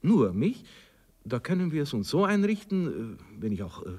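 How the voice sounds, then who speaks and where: Casting: a self-important, evasive husband, raspy, very animated pitch, vintage radio play. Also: male voice, normal speed, big-room sound. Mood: earnest, hesitant. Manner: hesitant, moralising, blustering. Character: pompous, evasive married bourgeois man wooing a girl. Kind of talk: 1960s German radio drama acting.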